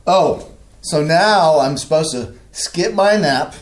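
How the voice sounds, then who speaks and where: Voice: medium pitch, expressive, slow. An actor in a staged play reading playing a flustered, grumpy older father who sputters and halts indignantly. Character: flustered older father, grumpy small-town shoe seller.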